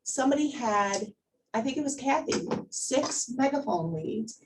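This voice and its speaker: A woman's voice: fairly high pitch, expressive, a little slow. Woman trainer, recorded from a distance. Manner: conversational, emphatic, repeats words for emphasis. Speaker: trainer leading a workplace class. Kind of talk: informal training presentation with questions to the group. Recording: distant mic, faint background noise.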